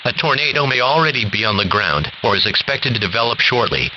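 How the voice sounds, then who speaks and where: A man's voice: mid-range voice, animated, quick pace, pushed and bright. A man's synthetic-sounding American voice formally reading automated weather warnings like a radio announcer. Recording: dull noisy weather radio.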